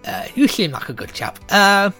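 A man's voice: high voice, huge pitch swings, moderate pace, bright, forceful tone, soft background music, pitched above the performer's own voice, exaggerated voice. A man voicing a blunt, slangy old crone who runs a shop. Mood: grumbling. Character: gruff old crone shopkeeper, blunt and grumbling.

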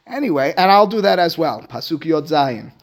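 A man's voice: fairly high pitch, very animated, moderate pace. A man teaching scripture as a rabbi, emphatic and rhetorical, repeating phrases for stress and moving between English and Hebrew verses. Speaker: rabbi giving a class on the Book of Esther. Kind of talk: religious lecture, close textual commentary.

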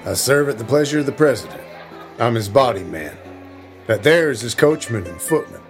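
A man's voice: medium pitch, expressive, fairly quick, lightly acted. A man acting a casual, wry presidential bodyguard in a period drama, dry and offhand, over music. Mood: offhand, unconcerned.

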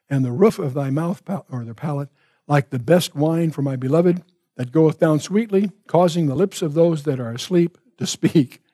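An older man teaching the Bible, reading verses and explaining them in a measured way, restating his points as he goes. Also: fairly high pitch, mellow, no room sound.

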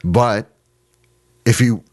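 A man's voice: lowish voice, very slow, no echo, studio quality. A Canadian man chatting casually as a podcast co-host. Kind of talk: casual group chat about soccer.

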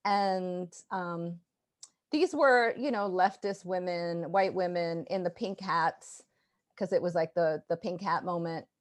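Ny woman chatting on a video call, animated, lots of laughs, lowish voice. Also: slow pace. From New York, USA. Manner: animated, laughing often, restarts. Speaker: guest artist. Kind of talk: casual interview chat.

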